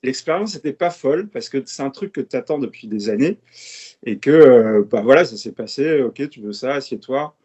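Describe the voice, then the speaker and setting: Guest spot: laid-back French man in his fifties, middle pitch, rambling anecdotes. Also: slightly rough, dull audio. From France. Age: 50s. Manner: relaxed storytelling, quick asides and laughs. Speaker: guest tattoo artist. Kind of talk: casual podcast chat.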